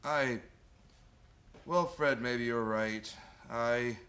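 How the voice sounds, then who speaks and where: An actor playing a kindly but feisty old Santa Claus in a radio play, earnest and old-fashioned. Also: male voice, mid-range voice, extremely slow, a bit bright. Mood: hurt, downcast. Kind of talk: stage-style radio play acting.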